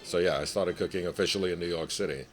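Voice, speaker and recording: A man from Nigeria with a very deep, low voice talks through his career as a chef. He speaks in a measured, reflective way and drops in a light laugh now and then. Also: faint music behind. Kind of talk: casual interview.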